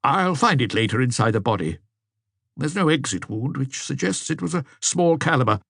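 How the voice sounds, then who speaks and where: A man voicing a brisk, clinical, spry old police doctor in an audiobook. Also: mid-range voice, lively intonation, gravelly, fairly bright tone, no echo, clean studio sound, voice pushed up. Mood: matter-of-fact.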